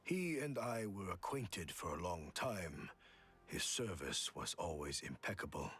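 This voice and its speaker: An actor playing a calm, courteous old martial artist in a video game, speaking in a formal, measured way. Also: male voice, deep voice, slightly rough, exaggerated voice. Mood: composed. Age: older. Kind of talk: scripted game voice acting.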